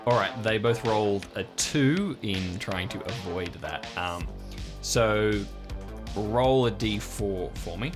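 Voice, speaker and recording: Brief: male game master, low voice, vivid descriptive narration of a tabletop adventure, music clearly behind. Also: slow. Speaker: game master of an improvised audio drama.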